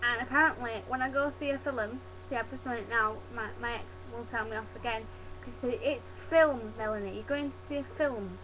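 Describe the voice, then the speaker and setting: A young woman from Yorkshire with a softened Yorkshire accent, chatting casually to her webcam. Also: on the high side, a little fast, hardly any pauses, a little hiss or hum, low-quality recording. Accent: Yorkshire, softened toward standard British English. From Yorkshire, England. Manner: chatty, demonstrates dialect words, laughs at herself. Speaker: vlogger. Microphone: webcam mic.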